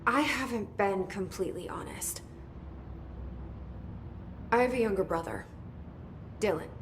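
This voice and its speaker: A woman's voice: mid-range voice, expressive, measured pace, noisy background. An actress playing a terse, businesslike young woman in charge of an agency in a video game. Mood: confiding.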